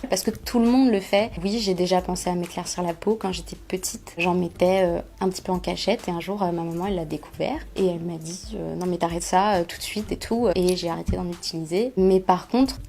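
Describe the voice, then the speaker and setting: A young woman giving a personal testimony in an interview, speaking softly and hesitantly with many pauses and fillers. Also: fairly low pitch.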